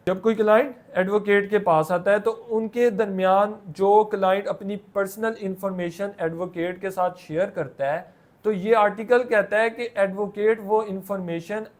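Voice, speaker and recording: A man with a Pakistani accent explaining material like a lecturer, in a colloquial way with repeated filler phrases and English terms mixed in. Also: high voice. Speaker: law lecturer.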